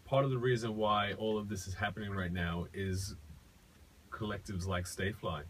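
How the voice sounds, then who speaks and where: A man's voice: lowish voice, steady tone, normal speed. A man co-hosts a radio show. He talks loosely in hip hop slang and asks probing questions, with some noise in the background. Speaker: radio co-host. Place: radio studio.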